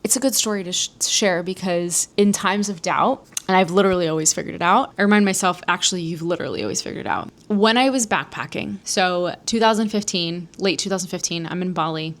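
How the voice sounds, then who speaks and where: A woman's voice: lowish voice, brisk, few pauses, a bit bright. An American woman from New Jersey telling a personal story as a solo podcast host, in a chatty, candid, casual way with some swearing. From New Jersey, United States.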